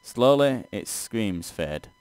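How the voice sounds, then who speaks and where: A man's voice: on the low side, big pitch swings, slow, a little mellow, smooth, no room sound. A man doing a let's play, reading a text game aloud and reacting casually.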